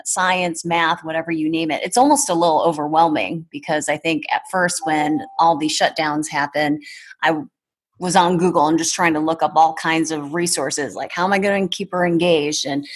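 A woman in her thirties talking casually as a podcast guest in a measured, thoughtful way. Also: deep voice, slightly rough. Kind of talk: casual conversation.

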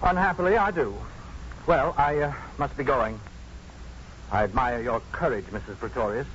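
A man's voice: mid-range voice, moderate pace, fairly bright tone, natural voice. A male actor playing a smug, officious university professor in a 1950s radio drama, stiff, pompous and insinuating. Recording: muffled, noisy 1950s radio recording.

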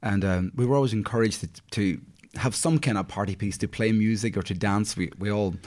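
Chatty Northern Irish man, lowish voice. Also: brisk, a little mellow. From County Derry, Northern Ireland. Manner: chatty, friendly, frequent you-knows. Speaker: interview guest, singer. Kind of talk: casual reminiscing interview chat.

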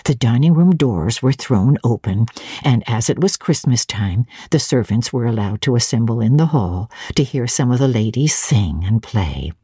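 A woman voicing an elderly, genteel housekeeper in a classic audiobook, chatty and admiring as she savours each detail in a measured way. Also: rumbling low, lively intonation, warm tone, slightly rough, no echo, clean studio sound, pitched below the performer's own voice, exaggerated voice. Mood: fondly admiring. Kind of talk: dramatic audiobook reading of classic literature.

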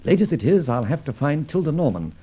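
An actor playing a suave adventurer sleuth in an old radio drama, speaking in an articulate, wry, theatrical manner. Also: male voice, on the high side, sing-song, brisk. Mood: brisk, investigative. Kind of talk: old radio drama acting with scripted narration. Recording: muffled old radio recording with music.

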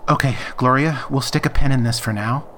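A woman's voice: extremely low pitch, fairly quick, a bit bright, slight background noise. A woman playing an exasperated, brusque, take-charge member of a diner crew in a comedy audio drama. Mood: managing. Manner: brusque, demanding.

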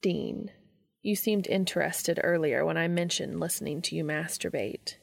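A woman voicing a commanding, tender adult man in a romantic audio story, speaking low, slow and often in a whisper. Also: vocal fry, heavily acted. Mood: hushed, commanding.

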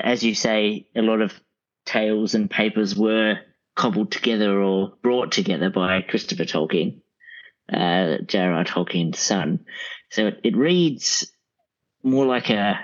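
A man speaking as an interview guest, explaining conversationally in measured, hesitant phrases on dull, band-limited audio. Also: fairly low pitch. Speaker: podcast guest, audiobook expert.

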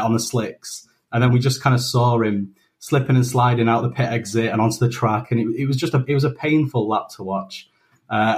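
A man's voice: medium pitch, darkish tone. A man, a guest on a podcast, giving his views thoughtfully, with hedges and many restarts. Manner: thoughtful, hesitant, restarts often. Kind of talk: casual chat.

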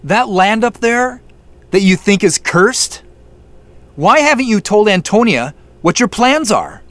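A man's voice: high-pitched, sing-song, unhurried, no echo. An actor playing a defensive young man who has been caught sneaking around a farm and turns accusing in an audio drama.

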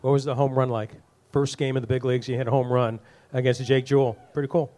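A man's voice: mid-range voice, level tone, quick pace, mellow. A man hosting a panel, asking players questions in a chatty, jokey way. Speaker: panel host.

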